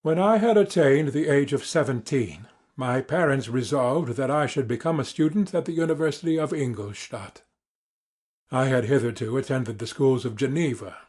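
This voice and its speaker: A man reading classic literature as a dramatic audiobook narrator, formal, measured and earnest. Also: mid-range voice, darkish tone, slightly rough.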